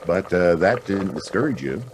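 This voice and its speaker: Low-voiced, wry hard-boiled detective. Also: male voice, expressive, moderate pace, noisy background. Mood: dry, evasive. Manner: wry, dry, slangy. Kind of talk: hard-boiled radio drama acting with narration.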